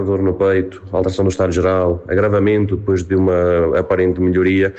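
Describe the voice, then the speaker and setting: A man with a European Portuguese accent speaks in a fairly low voice. He hosts an explanatory advice talk, setting out questions and answering them himself. His manner is didactic, with informal asides to the audience. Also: a little mellow. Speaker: host of a health advice segment.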